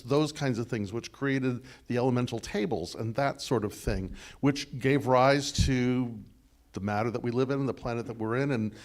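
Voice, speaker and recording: A man with a big voice giving a discursive, animated sermon response, full of fillers and restarts. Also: medium pitch. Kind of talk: conversational sermon dialogue.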